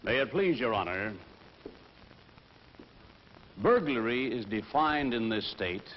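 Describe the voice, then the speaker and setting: An actor playing a formal, assured prosecuting attorney, delivering a deliberate courtroom speech in a 1960s training film. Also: male voice, medium pitch, lively intonation. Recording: dull 1960s film soundtrack, long reverb, hiss.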